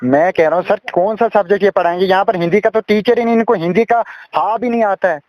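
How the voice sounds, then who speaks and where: A man's voice: sits high, quick pace, no room sound, highs cut off. A man working as a news reporter, asking insistent, repeated questions. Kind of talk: phone interview, pressing questions and reporting.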